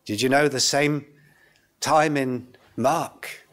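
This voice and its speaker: An older man preaching a sermon in an earnest, solemn, deliberate way, in short phrases with pauses. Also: mid-range voice, fairly even intonation. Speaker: pastor. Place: indoors, church pulpit. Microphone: lectern gooseneck microphone.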